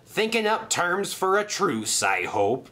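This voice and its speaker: Smug sailor villain, smooth and gloating, bright tone; a man reads him. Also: high voice, very animated, takes it slowly, voice pushed up, exaggerated voice.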